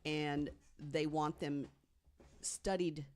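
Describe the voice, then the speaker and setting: Someone on a podcast reading out mystery stories, medium pitch, chatty little asides. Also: fairly even intonation, drawn-out words. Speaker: podcast panelist telling researched stories.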